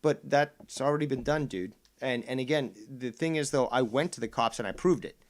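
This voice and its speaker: A man's voice: mid-range voice, normal speed. Combative profane man, first language Spanish. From Canada. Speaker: streamer host. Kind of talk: heated commentary on a clip.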